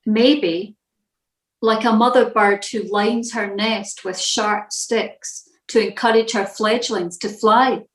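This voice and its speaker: A woman reading a scripted church devotional in a measured, reflective manner. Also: mid-range voice, fairly even intonation, roomy sound, rough recording.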